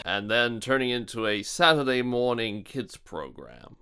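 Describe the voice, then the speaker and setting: A man's voice: mid-range voice, big pitch swings, a little slow, over music. A man hosting a scripted video review in a theatrical, mocking manner. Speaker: host of a video review series.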